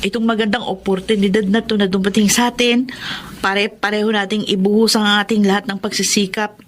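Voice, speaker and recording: A woman's voice: level tone, fairly quick, slight background noise, natural voice. An actress in a radio drama playing a humble, grateful young woman who also narrates her own story with earnest pleading. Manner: earnest, pleading, voice rising high. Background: music bed.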